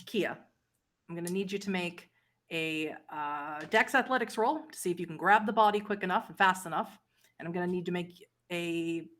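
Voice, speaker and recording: A woman working as game master, narrating a tabletop horror roleplay game in a measured way and directing the players. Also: lowish voice, fairly bright tone. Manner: measured, directing players through scenes and rolls.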